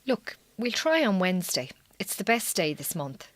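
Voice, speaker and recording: An actress playing a weary, guarded Irish farmer's wife in a radio drama, strained and terse, with an Irish accent. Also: female voice, mid-range voice, sing-song, moderate pace, no echo, close to an everyday voice. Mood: tired, strained. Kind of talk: naturalistic radio drama dialogue.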